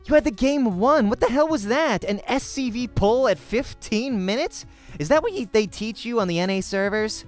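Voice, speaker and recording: Man putting on a high-pitched bossy young woman. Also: expressive, normal speed, faint music behind. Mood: exasperated. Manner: scolding, taunting. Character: sharp, bossy young woman pro gamer. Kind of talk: visual novel character voice.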